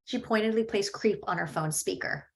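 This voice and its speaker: A woman reading stage directions at a screenplay table read in a steady, matter-of-fact way. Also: mid-range voice, moderate pace, vocal fry.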